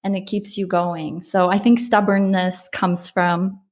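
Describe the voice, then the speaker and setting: A woman's voice: mid-range voice, little pitch movement, unhurried. A woman from Poland, a startup founder, talking reflectively in a casual interview with frequent restarts and fillers.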